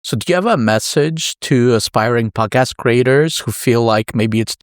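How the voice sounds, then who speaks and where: A man hosting a podcast interview, asking long, thoughtful questions in a measured conversational way. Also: mid-range voice, no room sound, studio quality.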